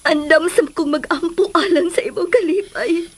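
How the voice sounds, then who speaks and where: An actress playing a sorrowful, lovelorn middle-aged mother in a melodramatic radio drama, with a Filipino accent and a breathy, tearful delivery. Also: very high voice, normal speed. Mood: tearful pleading. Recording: radio drama with music underneath.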